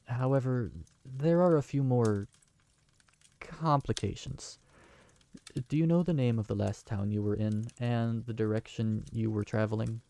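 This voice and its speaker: A man's voice: mid-range voice, fairly even intonation, measured pace, vocal fry, dark tone. Man playing a polite, flustered young tavern keeper. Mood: earnest. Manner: polite, earnest, slightly flustered. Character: young man who just inherited a magical tavern. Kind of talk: fantasy audio drama acting.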